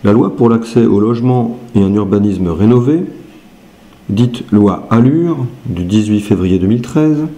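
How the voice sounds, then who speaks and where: A man's voice: on the low side, unhurried, mellow. A man reading written statements aloud in a scripted style.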